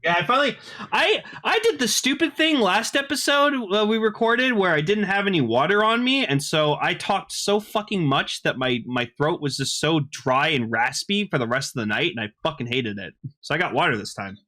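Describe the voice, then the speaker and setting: Male podcast co-host, sharp bright tone on the higher side, excitable fan talk, loose and sweary. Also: moderate pace. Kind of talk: casual fan chat.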